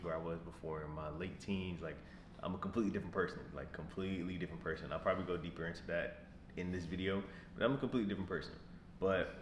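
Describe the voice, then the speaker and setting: A man in his mid-twenties, talking casually to camera as a vlogger, rambling and repeating himself in a relaxed way. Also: deep voice. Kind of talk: casual vlog talk to camera. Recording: echoey room with background noise.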